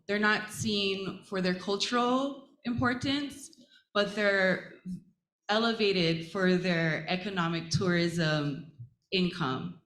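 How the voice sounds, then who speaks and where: Earnest woman panelist in a roomy hall. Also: medium pitch. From Hawaii. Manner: earnest, warm, with frequent pauses and fillers. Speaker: panelist, assistant professor. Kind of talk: panel talk to a live audience. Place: conference stage.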